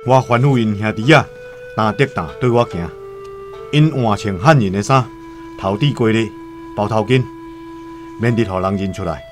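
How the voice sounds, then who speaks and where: A male actor plays a shrewd, self-serving trader in a radio drama, telling his side with a calculating air. The voice is low, with a Taiwanese accent and faint music behind it. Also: moderate pace, pauses often, close to an everyday voice. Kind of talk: radio drama dialogue and first-person storytelling.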